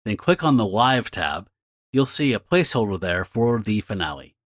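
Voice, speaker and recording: A man's voice: lowish voice, very animated, moderate pace, dry recording, studio quality. A man speaking as a podcast host, reading a scripted announcement.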